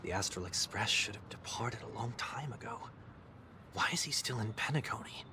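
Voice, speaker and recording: An actor playing a courteous, guarded young man in a video game, speaking formally and in measured phrases over music. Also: fairly low pitch, expressive, noisy background, close to an everyday voice. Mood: guarded, calm. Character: soft-spoken, courteous, guarded young male former captive bidding his home farewell.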